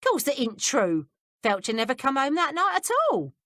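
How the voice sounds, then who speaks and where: A woman's voice: high voice, big pitch swings, normal speed, fairly bright tone, no echo, studio quality, pitched above the performer's own voice. A woman voicing a blunt, coarse working-class housekeeper who speaks plainly and bluntly. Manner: blunt, common speech.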